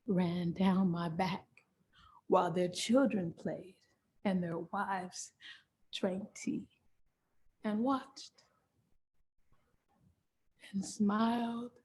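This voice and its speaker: A woman performs an enslaved mother in a theatrical monologue, anguished and fiercely loving. She speaks very slowly and emphatically in a mid-pitched voice, letting dramatic pauses hang between phrases. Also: dark tone. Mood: anguished, remembering. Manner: slow, emphatic, repetitive delivery with dramatic pauses.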